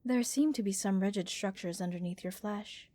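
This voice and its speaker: A woman playing a gentle, curious alien researcher in a soft-spoken ASMR roleplay, measured and reassuring, with clinical interest. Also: mid-range voice, close to an everyday voice. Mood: attentive, clinical. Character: gentle, curious female alien researcher examining a captured human. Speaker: voice actor in audio roleplay.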